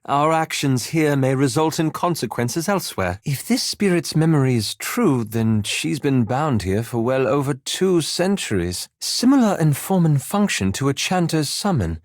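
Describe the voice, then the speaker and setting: Male actor as scholarly elf wizard, game character, cautious, fastidious, formal, dryly sarcastic, crisply articulated, lively intonation, dry studio sound. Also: on the high side, no room sound, natural voice. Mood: pensive, formal. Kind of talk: scripted video game voice lines.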